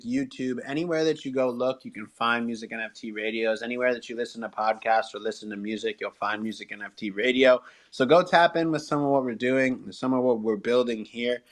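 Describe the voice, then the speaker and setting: A man's voice: medium pitch, fairly bright tone. A man hosts a casual live audio show in a mid-range voice. He is upbeat and encouraging, with plenty of slang and a promotional, rallying way of talking to listeners. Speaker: show host. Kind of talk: upbeat podcast and live audio room hosting.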